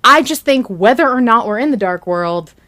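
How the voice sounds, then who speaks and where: A woman hosting a fan podcast, chatting excitedly in an emphatic, gushing, halting way. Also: a little high. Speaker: podcast host.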